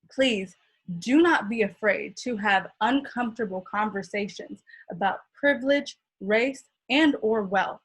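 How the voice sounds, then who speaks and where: Earnest husky teenage girl. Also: on the high side, poor audio. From California. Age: teens. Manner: earnest, impassioned, measured. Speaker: student speaker. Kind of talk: prepared speech.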